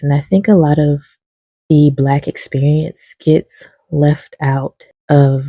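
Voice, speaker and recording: Calm, low-key woman with a gravelly voice. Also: no room sound. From lives in Kentucky. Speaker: book reviewer. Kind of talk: casual recommendation talk to camera.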